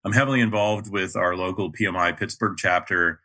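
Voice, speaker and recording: A man in his fifties from North Carolina with a neutral mid-Atlantic American accent, speaking as a podcast guest in a measured way, with frequent ums. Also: low-pitched, sharp tone. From North Carolina, USA. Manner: measured, many ums and uhs. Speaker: podcast guest, project manager. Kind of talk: casual interview talk.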